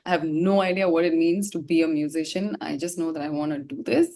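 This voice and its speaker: A woman's voice: sits low, fairly even intonation, fairly quick, mellow. A woman from India, a musician, telling a personal story in an interview in a candid, reflective way.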